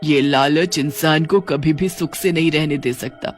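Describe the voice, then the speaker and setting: A man delivers scripted storybook narration. His voice sits fairly high for a male, with faint music underneath. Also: a little slow.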